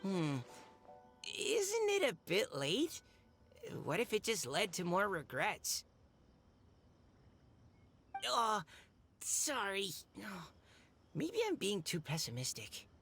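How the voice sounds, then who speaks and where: Timid youth with lively pitch. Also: female voice, slow pace, pauses often, heavily acted. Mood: worried, apologetic. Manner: tentative, apologetic. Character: timid, self-doubting, polite youth. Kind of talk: video game voice acting. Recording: music behind the voice.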